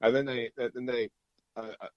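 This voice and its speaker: A man's voice: medium pitch, flat delivery, moderate pace, no echo, muffled recording. A middle-aged British man, a musician chatting casually in an interview, rambling through stories in a chatty way. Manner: chatty, rambling storyteller, often chuckling.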